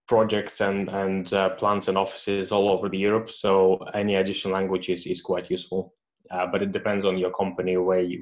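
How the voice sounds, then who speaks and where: Low, flat-voiced engineer. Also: male voice. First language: Russian. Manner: hesitant, many restarts and fillers. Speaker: mechanical engineer. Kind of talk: answering read-out questions in a career Q&A. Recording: dull lo-fi audio.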